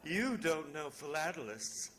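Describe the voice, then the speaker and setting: A man's voice: on the high side, sing-song, measured pace, fairly bright tone, a little hiss or hum, poor audio. An actor playing a fussy, genteel, affectionate male friend in old-time radio drama, with a theatrical, effete, solicitous manner. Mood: fussing, affectionate.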